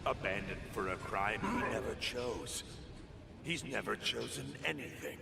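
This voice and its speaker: A man voicing a brooding, grandiose fantasy villain in a video game, solemn and theatrical, with a gravelly voice. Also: fairly low pitch, animated, a little slow, heavy background noise, exaggerated voice. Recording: echoey, loud ambience behind.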